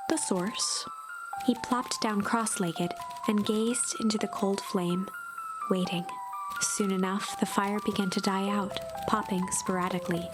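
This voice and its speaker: A woman reading scripted storybook narration for a video game in a measured, expressive way. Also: medium pitch. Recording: loud music behind the voice. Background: music.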